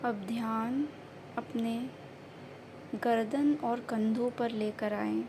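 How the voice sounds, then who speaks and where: A woman guiding a meditation in a gentle, soothing manner, with short unhurried phrases of instruction. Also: fairly high pitch, fairly even intonation. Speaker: meditation guide. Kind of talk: guided meditation narration. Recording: faint background noise and ambience.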